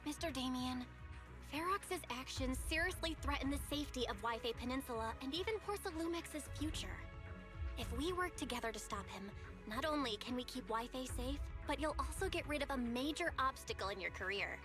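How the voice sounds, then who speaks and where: A young heiress from a video game, speaks in a strikingly high voice. She is formal and poised, earnest and resolute in her delivery. Music sits clearly behind her. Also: lively intonation, a little fast. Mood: earnest persuasion. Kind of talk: scripted video game dialogue.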